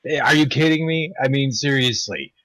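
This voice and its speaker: A man's voice: mid-range voice, normal speed, fairly bright tone. A man chatting casually on a group live stream in a brash, sweary, mock-ranting manner. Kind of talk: casual chat.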